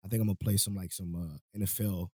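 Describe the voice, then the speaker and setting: A man's voice: deep voice, steady tone, fast, a little mellow, husky, dry recording, studio quality. A man hosting a casual podcast, talking in a laid-back, rambling way with frequent laughs, his voice a little worn from a cold. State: voice going, catching a cold. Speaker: podcast host.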